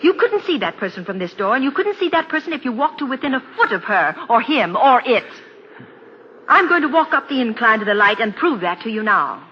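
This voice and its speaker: A woman's voice: a little high, very animated, fairly quick. An actress playing a rational, insistent woman in an old radio drama, articulate, persuasive and dramatic in her delivery. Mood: firm, persuasive. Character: rational, insistent woman reasoning with a frightened man. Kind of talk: 1940s radio drama acting and narration. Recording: dull, noisy old radio broadcast.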